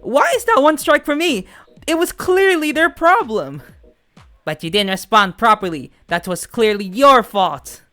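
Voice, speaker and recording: A woman's voice: a little high, expressive, a little slow, pushed and bright, voice pushed up. A woman voicing a bossy senior worker devoted to their lord, scolding in a curt, haughty way over music. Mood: accusing.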